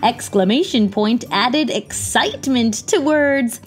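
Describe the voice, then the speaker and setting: A woman reads a picture book aloud for children in a high voice. Her pace is slow and deliberate, and her pitch moves theatrically. Faint music plays behind her. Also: lively intonation. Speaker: children's read-aloud host. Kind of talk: expressive storybook narration. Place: small indoor room.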